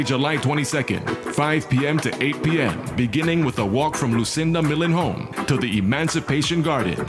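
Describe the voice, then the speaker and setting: A male announcer with a slightly high voice reads a community notice in a steady, formal manner. Clear music plays behind him. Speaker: radio announcer.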